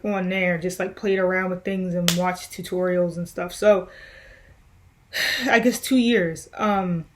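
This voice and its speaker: A young woman musician answering viewer questions in a loose, rambling way, with many fillers and restarts. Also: medium pitch. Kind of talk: casual Q&A vlog.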